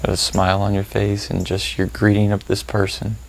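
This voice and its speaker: A man leading a guided meditation, giving gentle, informal instructions with unhurried pauses. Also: fairly low pitch, completely flat delivery, slight background noise.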